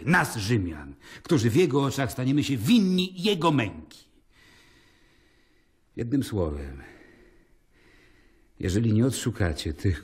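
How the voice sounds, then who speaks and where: An actor playing a stern, brooding Roman governor in an audio drama, solemn and commanding. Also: male voice, deep voice, darkish tone, slightly rough, heavily acted. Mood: commanding, urgent.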